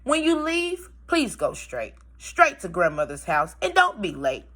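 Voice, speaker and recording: A woman's voice: mid-range voice, huge pitch swings, measured pace, a bit bright, full character voice. A woman voicing a caring, cautioning storybook mother, with a singsong, instructive delivery.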